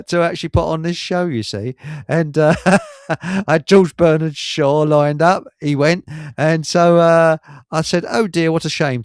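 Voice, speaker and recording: A man's voice: fairly high pitch, no echo, clean studio sound. A man hosting a casual, rambling live radio chat, blunt and grumbling with mild British swearing. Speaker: radio show host.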